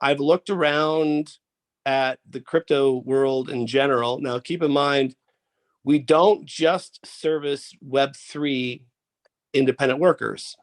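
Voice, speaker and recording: A man's voice: medium pitch, fairly even intonation, slow. A man with a head cold and a congested voice, the founder presenting an annual member update casually, off camera on a video call, rambling with fillers and restarts. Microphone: off camera, video call mic.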